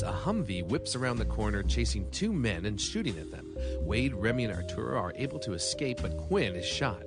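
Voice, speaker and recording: Low-voiced man recovering from a cold. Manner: chatty, laughs often, joking asides. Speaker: podcast co-host. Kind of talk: casual chat and episode recap.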